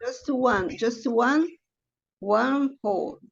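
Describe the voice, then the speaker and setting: Lady hosting a poetry show online, very slow and formal, dull lo-fi call audio. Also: a little high, gravelly, crowd noise. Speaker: show host.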